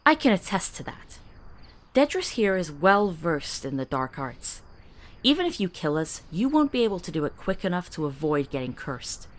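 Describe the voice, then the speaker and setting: Woman playing an animated young adventurer's companion. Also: on the low side, normal speed. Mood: bluffing menace. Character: quick-witted, compassionate female travelling companion of hunter. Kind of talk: visual novel voice acting.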